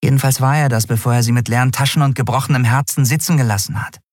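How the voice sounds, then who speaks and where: Man voicing a high, cheeky little winged female creature. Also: fairly even intonation, fast talker, no room sound, clean studio sound, close to an everyday voice. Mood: gossipy, wry. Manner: playful, teasing, boastful. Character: tiny winged female creature, cheeky, proud, teasing card champion. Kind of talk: audiobook character voice.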